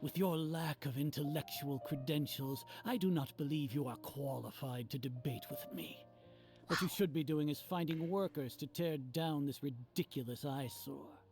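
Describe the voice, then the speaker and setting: A man's voice: fairly high pitch, natural voice. A man voicing a haughty scornful official. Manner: haughty, condescending. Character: arrogant, scornful male official. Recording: game audio with music behind.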